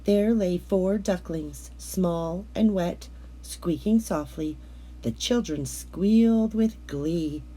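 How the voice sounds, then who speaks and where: A woman reading a children's picture book aloud as a narrator, in a measured, expressive storytelling manner with drawn-out words. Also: lowish voice.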